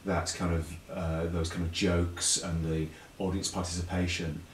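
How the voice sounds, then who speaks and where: British man, low voice, chatty interview guest, explanatory, self-correcting, roomy distant sound. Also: little pitch movement, moderate pace. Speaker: interview guest, pantomime writer and performer. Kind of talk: casual chat show interview. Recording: echoey room, distant mic, faint background noise.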